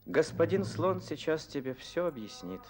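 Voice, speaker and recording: An actor playing a sly, taunting onlooker in an old Soviet cartoon. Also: male voice, fairly high pitch, big pitch swings, moderate pace, slight background noise. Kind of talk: 1960s cartoon voice acting. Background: loud orchestral music.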